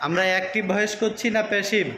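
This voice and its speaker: A woman's voice: mid-range voice, fairly even intonation, racing. A woman with a Bangladeshi accent teaching a school class, encouraging pupils and correcting them as they go. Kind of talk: classroom English grammar lesson, prompting pupils. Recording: echoey classroom, faint background noise.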